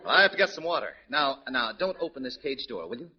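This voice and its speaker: A man playing an officious, gruff circus attendant in 1940s radio comedy. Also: medium pitch, big pitch swings, quick pace, a faint crowd, slight background noise, natural voice. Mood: brusque. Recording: muffled vintage radio recording, studio audience.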